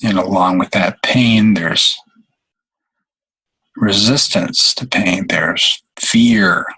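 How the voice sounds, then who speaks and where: Slow, husky man guiding a meditation. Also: sits low, bright, forceful tone, rough recording. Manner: halting, unhurried, long pauses. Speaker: meditation guide. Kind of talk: guided meditation.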